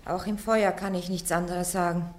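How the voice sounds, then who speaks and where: A woman's voice: fairly low pitch, fairly even intonation, moderate pace, a little mellow, lightly acted. An actress playing Joan of Arc, a young woman on trial who answers her judges curtly and stubbornly in a German radio play. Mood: defiant. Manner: stubborn, curt, defiant replies. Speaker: accused. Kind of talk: 1950s-60s German radio drama.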